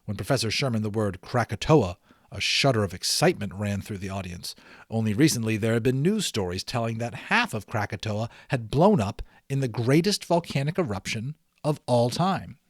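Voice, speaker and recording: A man narrating a storybook aloud in an expressive, explanatory way, as an audiobook reader. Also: lowish voice, moderate pace, no room sound, clean studio sound.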